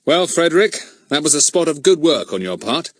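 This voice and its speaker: A man reading a storybook voicing a brisk, authoritative police chief inspector. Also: fairly high pitch, sing-song, no echo, voice pushed up. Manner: stentorian, brisk.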